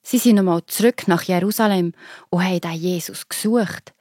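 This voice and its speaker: A woman's voice: fairly low pitch, moderate pace, a little mellow, dry recording, clean studio sound. A woman with a Swiss German accent telling a story to children, explaining it simply and expressively. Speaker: narrator. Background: music.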